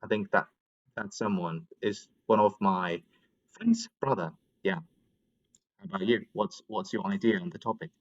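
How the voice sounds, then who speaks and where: A man with a slightly low voice, heard through somewhat dull call audio. He gives his opinions in casual conversation, wordy and feeling his way toward the right words. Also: normal speed. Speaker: English conversation practice participant. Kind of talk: casual chat, explaining opinions.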